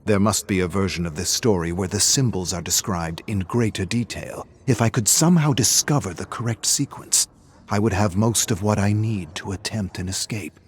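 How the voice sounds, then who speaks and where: Man playing a very low-voiced, brooding trapped archivist. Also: normal speed, lightly acted. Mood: intent, hopeful. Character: obsessive male archivist, trapped, brooding, determined to escape. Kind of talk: scripted in-character journal narration for a video game.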